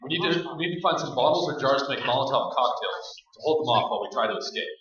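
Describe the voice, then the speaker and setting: A man's voice: mid-range voice, lively intonation, quick pace, a crowd in the background, lightly acted. A man playing a practical, urgent leader of a group of survivors in a staged radio play. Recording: very echoey stage, distant, muffled band.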